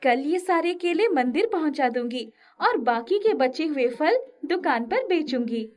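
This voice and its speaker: A humble village fruit-seller woman, put on by a female narrator, speaks high and earnestly. She is secretive and easily flustered, full of exclamations in a children's folk-tale style. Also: lively intonation, a little slow. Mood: brisk, eager.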